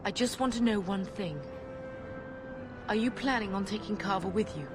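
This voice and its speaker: A woman's voice: medium pitch, normal speed, lightly acted. Woman acting an anxious, pleading older mother. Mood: polite, worried. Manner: earnest pleading. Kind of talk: video game cutscene acting. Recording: loud music behind dialogue.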